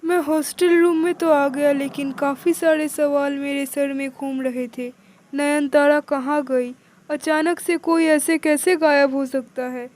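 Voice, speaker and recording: A woman's voice: high voice, steady tone, measured pace, darkish tone, rough recording. A woman giving scripted first-person narration of a cartoon story in the role of a young man.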